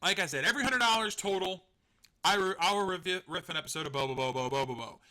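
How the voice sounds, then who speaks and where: A man's voice: sits high, fast, pushed and bright. A man hosting a live stream, chatting casually and rambling, joking at his own expense, laughing and swearing now and then. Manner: rambling, self-deprecating, laughs often, swears. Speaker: online show host.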